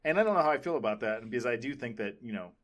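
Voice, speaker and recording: A man's voice: mid-range voice, expressive, racing, fairly bright tone. Man rambling casually on a podcast. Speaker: podcast co-host. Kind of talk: casual pop culture discussion.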